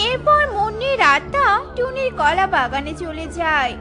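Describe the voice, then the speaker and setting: A woman's voice: extremely high pitch, very animated, moderate pace. A woman narrating a children's cartoon story from a script. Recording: music under the voice.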